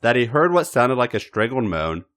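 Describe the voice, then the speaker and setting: A man reading a story aloud in a dramatic, expressive narrating style, with theatrical emphasis and deliberate pauses. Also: mid-range voice, slight background noise. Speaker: podcast host reading fan fiction.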